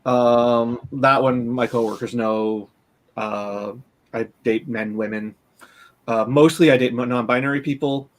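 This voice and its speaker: Candid, halting man in his late thirties. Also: mid-range voice, steady tone. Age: late 30s. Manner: candid, halting with frequent fillers and restarts. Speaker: panelist. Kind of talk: casual conversation.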